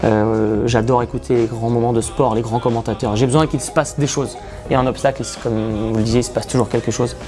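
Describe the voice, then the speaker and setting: A man in his thirties from France speaks in an earnest, hesitant way, pausing often as he gathers his thoughts. His voice sits in an ordinary middle pitch. Faint music plays quietly behind him. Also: little pitch movement. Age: 30s. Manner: earnest, self-described shy, frequent hesitations. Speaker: horse racing commentator. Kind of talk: interview answers.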